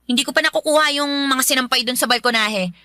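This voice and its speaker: A woman's voice: sits high, quick pace, bright, forceful tone, dry recording, studio quality. An actress playing a nagging, scornful stepmother in a Filipino radio drama, scolding and accusing indignantly. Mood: nagging, irritated.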